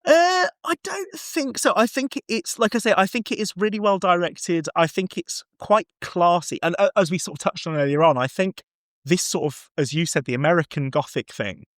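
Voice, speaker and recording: A man chatting casually about films, acting out bits of dialogue as he tells it in an animated way. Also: high-pitched, moderate pace, no echo, studio quality. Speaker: podcast guest film reviewer. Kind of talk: casual, chatty film discussion.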